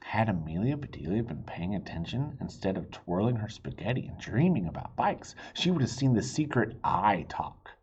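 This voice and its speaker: A man reading a children's story aloud in an expressive, theatrical way, with chatty asides to young listeners. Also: on the low side, moderate pace, hardly any pauses, muffled recording, a little hiss or hum. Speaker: children's book reader.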